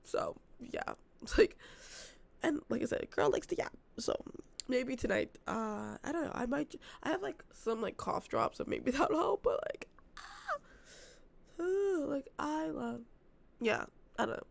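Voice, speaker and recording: Vlogger, young woman, hoarse with a very gravelly fry, chatty and rambling, off-the-cuff talk. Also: sits high, big pitch swings, a little slow. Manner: rambling, filler-heavy, self-described yappy. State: losing her voice, hoarse. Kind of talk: casual unscripted vlog chat. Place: apartment.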